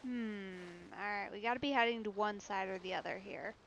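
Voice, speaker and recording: A woman's voice: mid-range voice, moderate pace, gravelly, fairly bright tone, slight background noise. A woman giving casual live gameplay commentary as a streamer, musing and thinking aloud. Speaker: game streamer.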